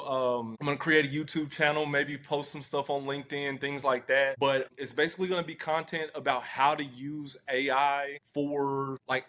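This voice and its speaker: A man giving conversational interview answers as a guest, in a halting, thoughtful and self-effacing manner. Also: fairly high pitch, a bit bright. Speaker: interview guest, car dealership internet director.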